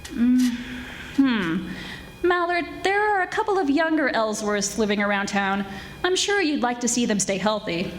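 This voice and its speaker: A woman's voice: on the high side, big pitch swings, a little hiss or hum. Woman playing a wry 1940s radio lady detective. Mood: cool, wry. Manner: wisecracking, brisk hardboiled narration. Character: witty, tough female private detective narrating her case.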